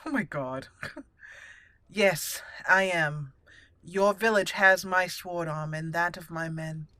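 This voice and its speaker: A woman hosting a roleplay gaming video, mixing casual commentary with deliberate readings of her character's dialogue. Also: on the low side, pushed and bright, no echo.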